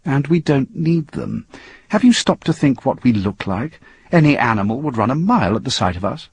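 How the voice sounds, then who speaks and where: A man's voice: medium pitch, expressive, moderate pace, a little mellow, slightly rough, voice pushed up. A man voicing a confident, impatient male scientist exploring space, with an assured, explanatory delivery in a story reading. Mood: scornful.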